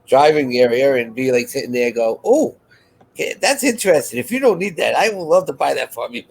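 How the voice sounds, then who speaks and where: A man's voice: medium pitch, lively intonation, brisk, gravelly, breathy edge. Casual rambling man in easy conversation. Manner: rambling, repeats and restarts phrases. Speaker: co-host of a live chat show. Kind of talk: casual chat.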